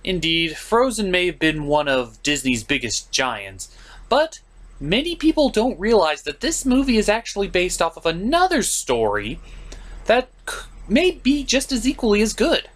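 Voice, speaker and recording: A man's voice: sits high, very animated, normal speed, a bit bright. A man hosting a comedic film review, narrating with theatrical, exaggerated delivery. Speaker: show host and reviewer.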